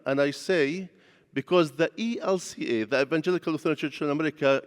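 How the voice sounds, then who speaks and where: A man from Palestine, a pastor and church executive, giving an earnest public lecture with joking asides. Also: on the high side. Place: lecture hall. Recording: echoey hall from far off.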